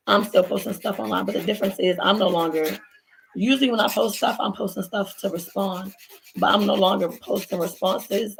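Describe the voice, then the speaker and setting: Hoarse gravelly woman chatting to camera. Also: mid-range voice, a little hiss or hum, rough recording. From Baltimore, USA. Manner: chatty, rambling, addresses viewers as y'all. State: hoarse, lost voice. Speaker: vlogger. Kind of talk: casual story time while doing nails. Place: at home. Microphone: laptop built-in mic.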